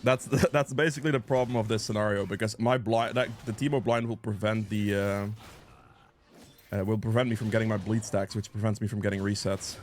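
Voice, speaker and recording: A man's voice: on the low side, steady tone, fairly quick, slight background noise. A man giving casual live commentary on a video game as he plays, thinking aloud and reacting as he goes, sniffly with a cold. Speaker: gameplay guide creator and player.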